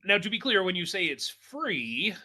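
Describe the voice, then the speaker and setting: A man hosting a casual, comedic podcast, speaking in a joking, halting way. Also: high-pitched, big pitch swings, sharp tone. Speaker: podcast host.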